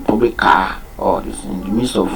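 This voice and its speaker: A man whose first language is Yoruba, giving an unscripted talk on a program, pausing often with fillers and repeating his key points. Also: low-pitched, fairly bright tone, a little hiss or hum. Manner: halting, many fillers and restarts. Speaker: program presenter.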